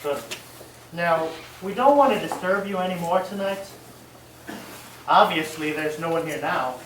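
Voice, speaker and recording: An actor playing a businesslike police detective who asks questions methodically in a radio mystery. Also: male voice, on the high side, measured pace, fairly bright tone. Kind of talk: old-time radio mystery acting. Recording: roomy, distant stage recording, faint noise.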